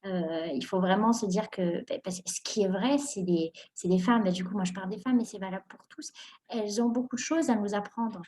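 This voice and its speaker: A young woman from Morocco answers interview questions with lively energy. She laughs often and fills her sentences with hesitations and quick restarts. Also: medium pitch, slight background noise. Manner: animated, laughs often, many fillers and restarts. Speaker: guest entrepreneur.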